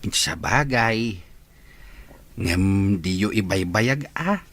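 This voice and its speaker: A man acting a fond, gentle father with a husky voice in a radio drama. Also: fairly low pitch, expressive, very slow, exaggerated voice. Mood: tender.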